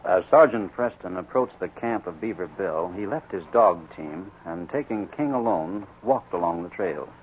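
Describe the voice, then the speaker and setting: A man narrating a scripted 1940s radio adventure in a measured storytelling manner. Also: sits low, lively intonation. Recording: muffled, noisy 1940s radio recording.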